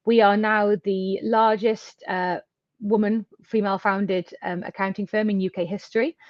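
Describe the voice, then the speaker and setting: A woman's voice: medium pitch, fairly even intonation, moderate pace, dry recording, lo-fi sound. British woman, fluent interview guest. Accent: British English. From United Kingdom. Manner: friendly, articulate, fluent. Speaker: guest, accounting firm founder. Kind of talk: conversational interview.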